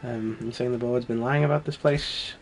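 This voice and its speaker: A man's voice: medium pitch, fairly even intonation, moderate pace, a crowd in the background, poor audio. Snuffly guy with a cold rambling over gameplay, wry, laughs a lot. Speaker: let's play host. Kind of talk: casual gameplay commentary.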